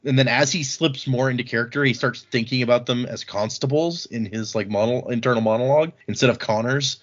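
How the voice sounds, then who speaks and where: A man's voice: mid-range voice, brisk, lo-fi sound. A man hosting a podcast, recapping a book in a casual, informal way. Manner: informal, acts out quoted dialogue briefly. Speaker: podcast host.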